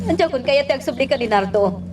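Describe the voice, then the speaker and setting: High, lively motherly Ilocano woman. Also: fast talker. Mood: worried, soothing. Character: caring motherly woman, soothing and concerned. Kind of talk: Ilocano radio drama acting. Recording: loud background noise under voice.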